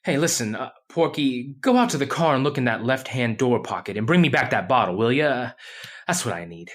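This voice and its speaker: Radio horror drama role: man as skinny, nervous rig geologist, halting and jittery, very animated intonation. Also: medium pitch. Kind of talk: old-time radio horror drama acting.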